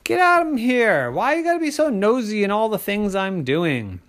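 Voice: medium pitch, big pitch swings, normal speed, fairly bright tone, a little hiss or hum, voice pushed up. A storyteller voicing an annoyed squirrel friend who shoos away a pest. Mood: exasperated. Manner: shooing, exasperated scolding. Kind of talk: bedtime story character voice.

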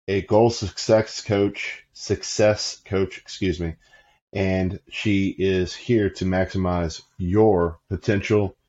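A man's voice: low voice, darkish tone, highs cut off. A man hosting a casual podcast, upbeat and often correcting himself as he introduces a guest. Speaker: podcast host.

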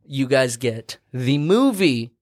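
A man hosting a casual podcast, explaining at length in an animated way, cursing freely. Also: medium pitch, takes it slowly, crowd noise. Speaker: podcast host.

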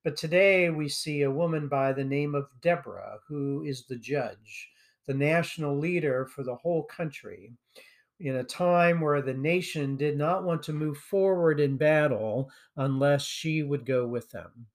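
A man hosting a sermon podcast, delivering a prepared message in a measured way that trails off at the end of phrases. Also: fairly high pitch. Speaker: podcast host and preacher.

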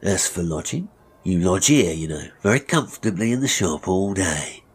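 A man's voice: deep voice, lively intonation, moderate pace, breathy edge. A man voicing a menacing, mocking murderous barber in a Victorian melodrama story. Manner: mocking, threatening, chuckling, oily when polite. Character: menacing, sneering murderous male barber, gloating villain.

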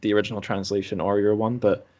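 A man's voice: lowish voice, flat delivery, fast, mellow, dry recording, muffled recording. A man hosting a podcast, speaking in conversation or reading aloud. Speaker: podcast host.

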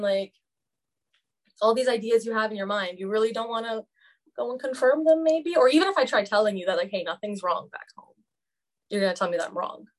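A young woman talking as a student guest in a casual podcast roundtable, speaking candidly with a lot of "like" fillers. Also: on the high side. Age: young adult. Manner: candid, chatty, many "like" fillers.

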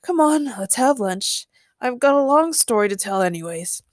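A woman's voice: fairly high pitch, animated, moderate pace, no room sound, studio quality, natural voice. A woman voicing a weary, disapproving older father with a resigned manner. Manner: groaning, resigned.

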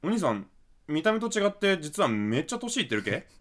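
A man acting as a flippant, trend-obsessed young guy in a radio drama, excitable and full of casual slang. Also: a little high, brisk. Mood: showy, amused. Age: young adult.

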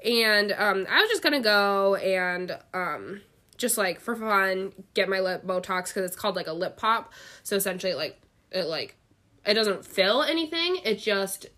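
Female podcast co-host, bright tone, congested with a head cold, casual and talkative storyteller who acts out quotes. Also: mid-range voice, expressive, unhurried. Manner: chatty, filler-heavy, quotes people animatedly. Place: bedroom, sitting on a bed.

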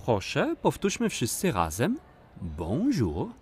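A man's voice: medium pitch, huge pitch swings, slow, breathy edge, full character voice. An actor playing a kindly, patient man who explains and encourages as a guide in a children's audio play. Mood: encouraging.

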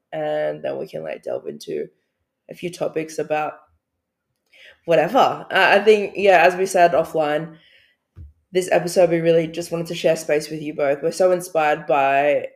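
A woman's voice: deep voice, gravelly. A woman co-hosting a casual podcast interview, chatty and laughing often. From Australia. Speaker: podcast co-host.

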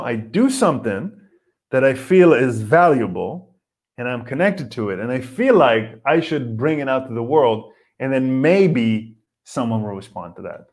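Animated rambling man in his 40s. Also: medium pitch. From Israel. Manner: rambling and animated, with many "you know" and "man" fillers. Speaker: comedian and podcast host. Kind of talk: casual solo podcast chat, reading viewer comments.

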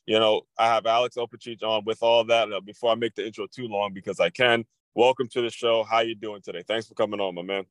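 A man's voice: lowish voice, quick pace, few pauses, no room sound. Maryland guy hosting his podcast, pumped up, repeats stuff, dry close mic. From Maryland, USA. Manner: energetic, repeats phrases for emphasis. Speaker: podcast host. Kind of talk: casual interview.

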